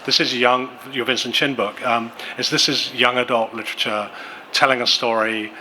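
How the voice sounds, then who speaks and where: A man moderating as an editor at a conference panel, asking long, halting questions full of fillers. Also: medium pitch, steady tone, sharp tone, slight background noise. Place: conference venue.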